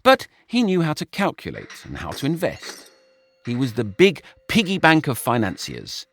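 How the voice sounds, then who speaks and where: A man reading a short story aloud in an expressive, wry manner. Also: medium pitch. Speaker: audiobook narrator. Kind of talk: scripted story narration.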